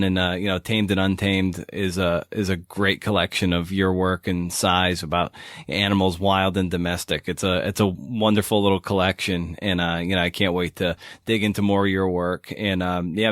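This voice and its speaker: A man's voice: deep voice, little pitch movement, moderate pace, a bit bright, dry recording, clean studio sound. A man hosting an interview podcast in a casual, informal conversational way. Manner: informal, peppered with "you know". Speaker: podcast host.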